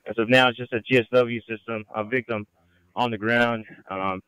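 A man's voice: medium pitch, steady tone, normal speed, fairly bright tone, smooth, dry recording. A man reporting as a police officer over a muffled scanner radio.

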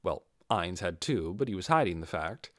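Guy reading a fantasy audiobook, really animated intonation, dry close sound. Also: low voice, normal speed, no room sound. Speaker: amateur audiobook reader. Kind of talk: scripted narration of a fantasy novel.

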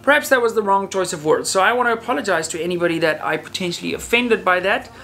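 A man in his thirties talking casually to camera as a channel host, in a conversational way with hesitant, self-qualifying pauses. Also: high-pitched, animated, vocal fry, pushed and bright, slight background noise. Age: 30s. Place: indoor room, talking to camera.

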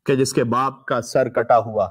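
A man with a mid-pitched voice delivers an impassioned religious sermon. His delivery is emphatic and declamatory, shaped like a mournful storyteller's. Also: fairly even intonation, normal speed. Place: indoor room with draped religious banners, live stream.

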